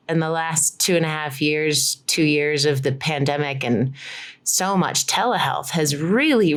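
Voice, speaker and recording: Low-voiced woman explaining with enthusiasm. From Colorado. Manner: enthusiastic, explanatory, uses "like" often. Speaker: physical therapist and guest. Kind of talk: conversational interview.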